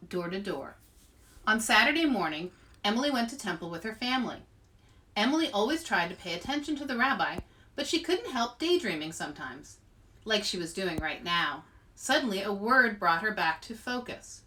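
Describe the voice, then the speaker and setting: A woman reading aloud and demonstrating for children in an animated, expressive way, as a children's author and host. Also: mid-range voice, distant mic. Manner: animated, expressive delivery to young listeners.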